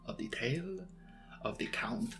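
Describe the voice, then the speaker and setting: A man hosting and narrating a dramatic audiobook in a theatrical, measured manner. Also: mid-range voice, lively intonation. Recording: music behind the voice. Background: background music.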